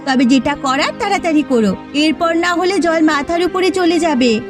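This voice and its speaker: Shrill-pitched, quarrelsome village wife played by an actress. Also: female voice, lively intonation, moderate pace. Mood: urging. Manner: scolding, boastful, complaining. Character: quarrelsome scheming village wife, proud and easily riled. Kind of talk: animated cartoon dialogue. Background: background music.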